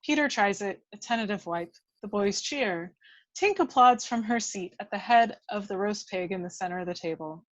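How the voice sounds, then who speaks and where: A woman's voice: medium pitch, normal speed. A woman reading stage directions as scripted narration at a table read of a film script.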